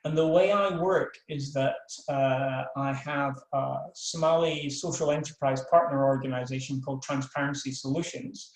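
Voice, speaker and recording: A man's voice: mid-range voice, fairly even intonation, moderate pace, few pauses, echoey room, low-quality recording. An older man from Scotland with a Scottish accent, a professor giving a frank webinar talk, full of fillers and restarts. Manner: frank, self-deprecating, many fillers and restarts. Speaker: professor of world politics.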